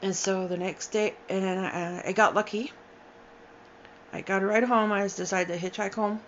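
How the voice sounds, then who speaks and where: A tired, upset woman in her forties speaks at a middling pitch, halting often and sighing between thoughts. Her account comes out unscripted, with restarts. The recording is dull and lo-fi, with a faint hiss underneath. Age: 40s. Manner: halting, emotional, with sighs and restarts. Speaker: storyteller sharing a personal account. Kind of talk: unscripted personal testimony.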